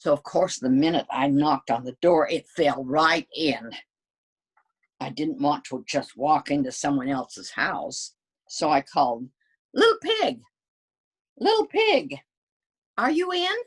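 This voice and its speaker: Storybook wolf played by a woman: sly, folksy, self-justifying, low and slow-paced. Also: big pitch swings, slightly rough, full character voice. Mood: calling out loudly. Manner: conversational, protesting his innocence. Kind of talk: dramatic children's storybook reading.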